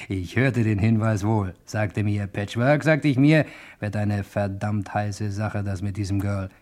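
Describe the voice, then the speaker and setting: An actor playing a boastful private detective who gets flustered by women, in a German radio crime drama. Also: male voice, lowish voice, darkish tone, lightly acted. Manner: hesitant and bumbling with women, smug when narrating.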